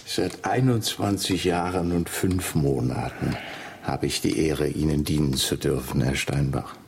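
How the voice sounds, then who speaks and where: A man playing a formal, deferential, long-serving butler in a radio drama, speaking in a measured, servile manner with a gravelly voice. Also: a bass voice, darkish tone, a little hiss or hum, exaggerated voice. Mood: deferential, reserved.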